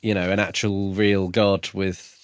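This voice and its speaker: Lower-voiced man, dry close sound. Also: flat delivery, a little slow, smooth, dry recording. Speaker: podcast co-host. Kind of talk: casual book discussion.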